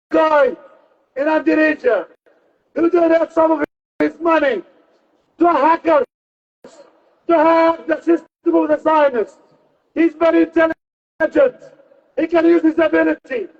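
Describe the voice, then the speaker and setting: A man delivers a fiery rally speech in a very high, strained pitch. He speaks slowly and forcefully, hammering repeated phrases with pauses between them. The recording is slightly muffled. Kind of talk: impassioned political speech at a rally.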